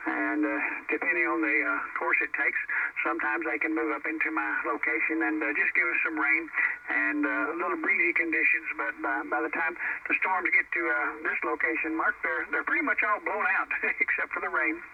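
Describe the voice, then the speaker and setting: A man chatting casually as a radio operator, halting and repeating himself over a radio transmission. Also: a little high, steady tone. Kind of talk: casual amateur radio chat. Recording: noisy, muffled, distant shortwave radio transmission.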